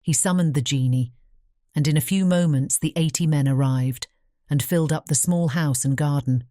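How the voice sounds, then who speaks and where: A woman narrating a scripted fairy tale as an audiobook, in a measured storytelling manner. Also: low-pitched, dark tone, no room sound, studio quality.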